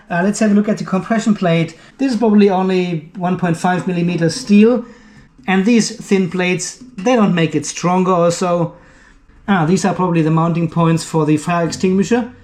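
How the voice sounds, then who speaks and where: Guy w high voice, reviewing gear hands-on, thinks out loud, echoey room. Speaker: video host and product reviewer. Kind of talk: unscripted hands-on product review commentary.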